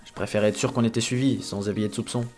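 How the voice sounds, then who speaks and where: An unsociable young male potion student, played by a man in a fantasy audio series. He speaks quickly in short, flat, matter-of-fact phrases, aloof and calculating, over faint music. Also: lowish voice, lightly acted. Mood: wary, calculating. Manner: deadpan, terse, matter-of-fact. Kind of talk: amateur audio fantasy drama acting.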